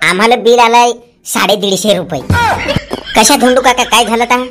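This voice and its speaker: A woman's voice: a little high, normal speed, natural voice. A woman voicing a cheeky, playful male village neighbour in a comedy cartoon, with a teasing manner. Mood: playful, helpful. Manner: teasing, rhyming. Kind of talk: Marathi comedy cartoon dialogue. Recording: music behind the voice. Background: music.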